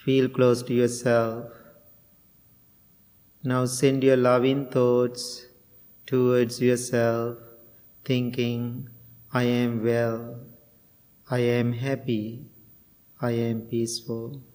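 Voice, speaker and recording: A middle-aged man from Sri Lanka, a Buddhist monk guiding a loving-kindness meditation and dharma talk, speaking gently with many pauses and fillers. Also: mid-range voice, monotone, long reverb tail.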